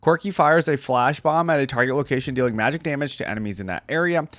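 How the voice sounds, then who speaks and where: A man's voice: mid-range voice, fast talker, a bit bright, no room sound. Man reacting casually, dry close sound. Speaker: reaction video host. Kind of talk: casual reaction commentary, reading descriptions aloud.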